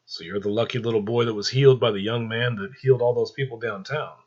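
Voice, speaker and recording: A man as a sly, husky Angel of Death. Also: mid-range voice, steady tone, fast, lo-fi sound, close to an everyday voice. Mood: falsely soothing. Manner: grumbling, coaxing menace. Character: cruel, sly, manipulative male Angel of Death.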